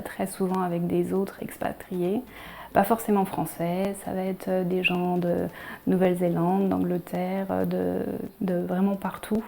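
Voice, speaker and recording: A woman with an accent from France gives a personal, reflective interview. Her voice is soft-edged and mellow with some vocal fry, and her pitch stays fairly level. She pauses mid-phrase as she looks for the next word. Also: a little hiss or hum. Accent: French from France. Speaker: painter, interviewee. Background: background music.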